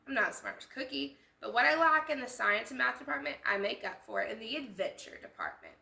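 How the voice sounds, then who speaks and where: A woman's voice: a little high, sing-song, brisk, vocal fry, sharp tone, roomy sound, heavily acted. A woman voicing an adventurous, sporty little girl from a picture book, plucky, breezy and casual. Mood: breezy, confident. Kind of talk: picture-book read-aloud character.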